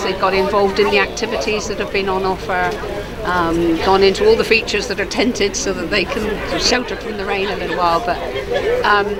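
A woman in her sixties, the show president, chatting in a measured way in an outdoor interview close to the camera. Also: medium pitch. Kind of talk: casual interview. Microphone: camera held close.

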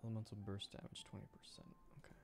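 A man's voice: racing, whispered, music in the background. A man chatting casually on a game livestream, muttering and thinking aloud to himself as he plays. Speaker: VTuber game streamer. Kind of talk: casual livestream gameplay chat.

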